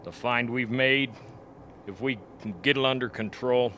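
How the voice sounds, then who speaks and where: A man playing a grave, earnest expedition doctor in a radio play, reasoning in a measured way. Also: mid-range voice, pushed and bright, close to an everyday voice. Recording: faint background noise.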